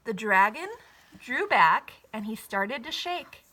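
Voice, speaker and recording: Animated, slow-paced woman reading a story. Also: on the high side, rough recording. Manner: animated, deliberate, rhythmic rhyming delivery. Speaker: storybook reader. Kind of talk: expressive children's story narration.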